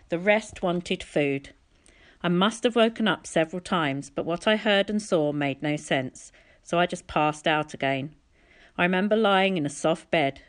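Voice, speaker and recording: Casting: female audiobook reader, slightly low voice, narrating a storybook. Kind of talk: storybook narration, reading aloud.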